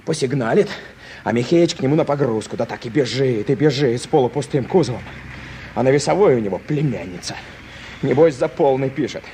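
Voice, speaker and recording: An actor playing a cocky, defiant truck driver from town in a radio play, talking colloquially and stubbornly. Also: male voice, medium pitch, animated, brisk, warm tone, close to an everyday voice. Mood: insistent. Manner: colloquial, stubborn, self-justifying. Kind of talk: Soviet radio play acting. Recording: noisy vintage radio drama recording.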